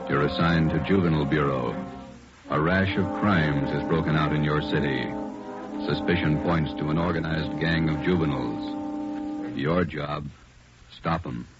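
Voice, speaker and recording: A man giving a slow, grave opening narration for a 1940s radio drama. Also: a bass voice, fairly even intonation. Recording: muffled old radio broadcast over loud music.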